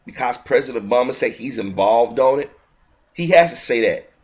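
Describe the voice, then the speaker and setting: A man's voice: mid-range voice, animated, moderate pace. A man giving a heated, indignant video commentary, often restarting his sentences mid-thought. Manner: heated, indignant, repetitive ranting with frequent restarts.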